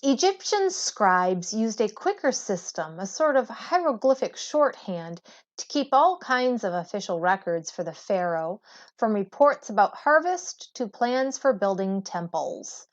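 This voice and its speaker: Lady teacher reading a textbook out loud, takes it real slow, kinda muffled lo-fi sound. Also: medium pitch, big pitch swings. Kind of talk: reading a textbook aloud to students. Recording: dull lo-fi recording.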